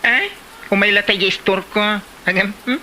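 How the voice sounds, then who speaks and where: A woman's voice: medium pitch, moderate pace, sharp tone, slight background noise, lightly acted. A woman playing a sympathetic, exclamatory village storekeeper in a comedy drama, with an Ilocano accent. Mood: sympathetic, exclaiming. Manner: exclamatory, reactive.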